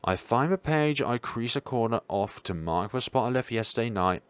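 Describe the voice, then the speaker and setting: A young man reading a visual novel aloud as a let's player, expressive but sometimes stumbling, with casual commentary of his own. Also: medium pitch, normal speed, clear, resonant tone, no room sound.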